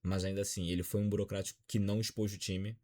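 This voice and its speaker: A man's voice: lowish voice, little pitch movement, fast, darkish tone. A Brazilian man in his twenties with a Brazilian accent, giving a dejected, frank football opinion vlog. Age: 20s. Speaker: football commentator.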